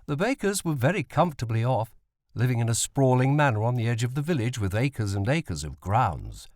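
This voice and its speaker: A man's voice: medium pitch, big pitch swings, brisk, darkish tone, no echo, studio quality. A man reading a novel as an audiobook narrator.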